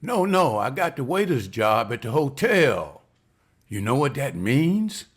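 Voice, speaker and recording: A man's voice: medium pitch, big pitch swings, unhurried, breathy edge. A man reading the part of William, a hopeful, respectful husband who has just landed a waiter's job, in a table read. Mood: eager. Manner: earnest, respectful, says ma'am.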